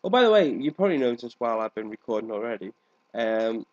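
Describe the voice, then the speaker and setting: A man's voice: medium pitch, very animated, quick pace, darkish tone. A man with a congested, cold-affected voice giving casual, rambling let's play commentary as the host of a gaming video. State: congested with a cold, coughing now and then. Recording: dull, lo-fi microphone sound.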